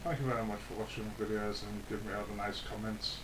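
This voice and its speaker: British man, lowish voice, informal hobbyist host, halting rambling explanations, clearly noisy home-video audio. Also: flat delivery, echoey room. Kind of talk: casual DIY vlog explanation.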